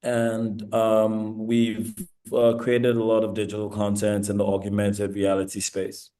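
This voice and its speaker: A Nigerian man speaks as a guest lecturer on a video call. His voice sits low and mellow, and his pitch barely moves. He talks in an easy, halting way, with lots of ums. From eastern Nigeria. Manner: relaxed, halting, many ums. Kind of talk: virtual lecture presentation.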